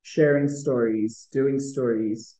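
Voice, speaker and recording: A woman's voice: a bass voice, fairly even intonation, slow pace, warm tone. A woman, interviewing casually as host over a video call. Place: room at home on a video call. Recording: dull video-call audio.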